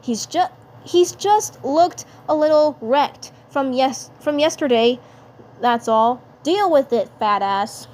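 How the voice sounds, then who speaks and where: A woman's voice: high-pitched, animated, slow pace, darkish tone, pitched above the performer's own voice. A woman playing a blunt, protective cartoon schoolboy with slangy, emphatic delivery in a fan dub. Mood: annoyed, defensive.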